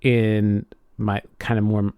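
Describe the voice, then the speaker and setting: A man's voice: on the low side, flat delivery, takes it slowly, dark tone, smooth, dry recording, clean studio sound. A man co-hosting a podcast in casual chat, telling things informally and hesitantly as he goes. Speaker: podcast co-host.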